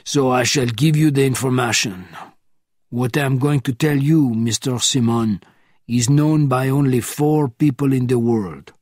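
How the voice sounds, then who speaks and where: Hulking informant, low and measured, formal menace, read by a man, husky delivery. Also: darkish tone, dry recording, studio quality, lightly acted. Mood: cold.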